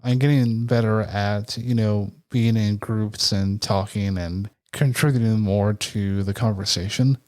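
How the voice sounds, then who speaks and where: Soft-spoken man, easygoing podcast chat. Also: lowish voice, steady tone. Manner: reflective, candid, measured. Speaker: podcast co-host.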